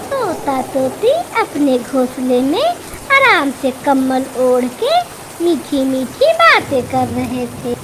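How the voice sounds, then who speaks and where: A woman's voice: high-pitched, heavy background noise. A woman reading scripted narration for a children's storybook cartoon.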